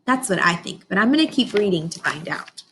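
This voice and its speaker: A woman teacher reading a picture book aloud to young children, expressive, often pausing to question her listeners directly. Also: mid-range voice, slight background noise. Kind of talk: informational read-aloud lesson for young children.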